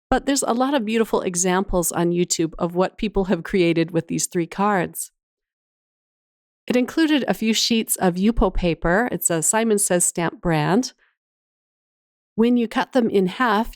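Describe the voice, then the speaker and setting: A woman's voice: on the low side, a little mellow, dry recording, clean studio sound. Woman with a slight cold, chatty crafter. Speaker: craft channel host. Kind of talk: casual craft tutorial voice-over.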